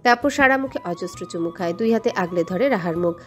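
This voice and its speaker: A woman's voice: fairly low pitch, lively intonation, a little fast. A woman narrator reading a scripted romantic story. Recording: voice over a music bed.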